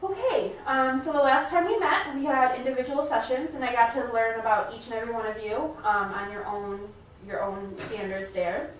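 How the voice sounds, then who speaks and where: A woman playing a supportive family therapist in a role-play, speaking in a hesitant, gently validating way. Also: a little high, fairly even intonation, moderate pace, few pauses, natural voice. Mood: calm, supportive. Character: supportive, attentive female family therapist leading a session. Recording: echoey room, distant mic, faint noise.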